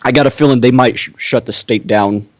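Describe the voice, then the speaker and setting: A man's voice: medium pitch, brisk, dry recording. A man from Wisconsin giving a casual conversational update as a guest on a show. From Wisconsin, United States.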